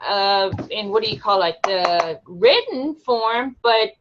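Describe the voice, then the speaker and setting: A woman's voice: mid-range voice, animated, takes it slowly, background noise. A woman from Rico, a Spanish speaker, talking earnestly on a group video call and sometimes searching for words. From Puerto Rico. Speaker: call participant. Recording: dull lo-fi call audio.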